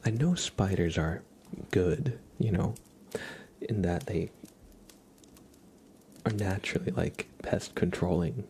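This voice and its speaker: A man chatting casually on an ASMR livestream, soft-spoken and halting, often slipping into a whisper. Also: deep voice, creaky, a little hiss or hum.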